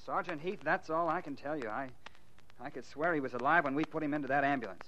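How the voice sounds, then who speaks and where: An actor playing a brisk, matter-of-fact ambulance doctor in 1940s radio drama style. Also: male voice, fairly high pitch, pushed and bright, faint music behind. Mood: grave. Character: brisk male ambulance doctor rattled by a death. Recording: dull, noisy 1940s radio recording.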